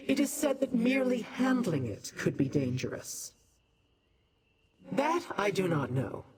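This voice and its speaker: A woman voicing a haughty immortal demon in a fantasy video game, condescending, imperious and formal in her deliberate delivery. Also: mid-range voice, lightly acted, rough recording. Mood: grave, knowing. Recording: music behind the voice.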